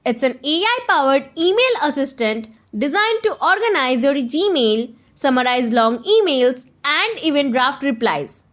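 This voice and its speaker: A woman presenting a scripted software tutorial, giving step-by-step instructions straight to the viewer. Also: high voice, very animated, measured pace, few pauses.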